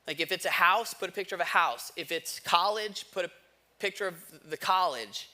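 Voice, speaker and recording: A man's voice: high voice, normal speed, pushed and bright. An American man in his thirties preaching a conversational sermon, telling personal stories in everyday slang and posing rhetorical questions. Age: 30s. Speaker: pastor preaching.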